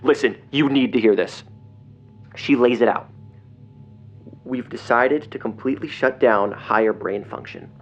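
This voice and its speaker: A man playing an agitated investigator in an audio drama, speaking in a grave, halting, intense way over a phone. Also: mid-range voice, soft background music, lightly acted. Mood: urgent revelation. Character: agitated male investigator relaying grim secret documents by phone. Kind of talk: audio drama acting, partly reading documents aloud. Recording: dull lo-fi phone call, clear noise.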